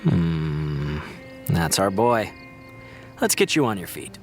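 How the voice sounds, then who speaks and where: Slow, theatrical vampire villain. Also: male voice, low-pitched, very animated, soft background music, full character voice. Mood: mocking. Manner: drawling, mocking, theatrical. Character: smug, theatrical male vampire villain, taunting and menacing. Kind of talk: audio drama acting.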